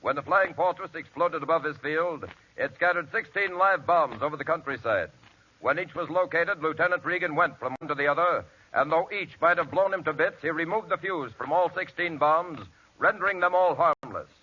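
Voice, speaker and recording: A man's voice: a little high, moderate pace, muffled recording. A man reading a scripted tribute as a 1940s radio announcer, formal and earnest. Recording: faintly noisy, band-limited 1940s radio recording.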